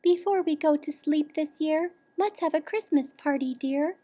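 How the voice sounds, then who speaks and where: A woman voicing a gentle, drowsy mother bear in a children's storybook, soft and sleepy. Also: high voice, moderate pace, voice pushed up, lightly acted.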